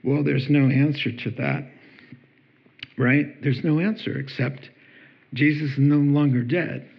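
Husky-voiced man preaching a lively sermon. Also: on the high side, unhurried, highs cut off. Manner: animated, jokey, conversational. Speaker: pastor.

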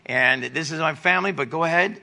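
A man in his forties, a missionary giving a church testimony over slides, halting with many fillers and restarts. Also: on the high side, gravelly, sharp tone. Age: 40s.

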